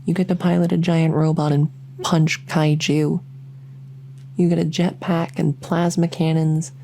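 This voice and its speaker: A woman's voice: low voice, gravelly, warm tone, a little hiss or hum. A woman voicing a lonely, socially awkward vampire who rambles enthusiastically in an ASMR audio roleplay. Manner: rambling, enthusiastic info-dumping, many fillers. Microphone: close ASMR microphone.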